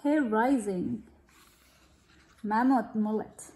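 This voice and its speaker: High-voiced woman reading a picture book, echoey room. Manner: deliberate, expressive, prompting the child with questions. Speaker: picture-book reader to a child. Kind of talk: storybook read-aloud with chat to a child. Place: room at home, at a glass table.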